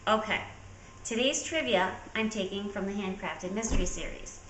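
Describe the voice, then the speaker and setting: Woman, bright forceful voice, casual author talk, echoey room, faint noise. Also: medium pitch, normal speed. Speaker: author presenting a trivia question.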